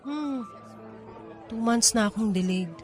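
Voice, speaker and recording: A woman's voice: on the high side, slow pace, music in the background, a crowd in the background. A woman playing a troubled, defiant, pregnant young woman with an emotional, pleading manner in a Tagalog radio drama, with a Filipino accent. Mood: downcast. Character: troubled pregnant nineteen-year-old woman, defiant and wounded.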